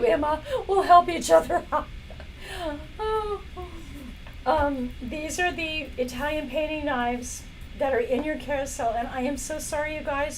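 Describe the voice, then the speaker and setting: Woman, live stream host, hoarse and fading voice, slow and chatty delivery, faint room noise behind. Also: high-pitched, low-quality recording. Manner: chatty, apologetic, frequent coughs and throat clearing. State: hoarse, losing her voice. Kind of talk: casual product demo live stream. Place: indoor workplace studio.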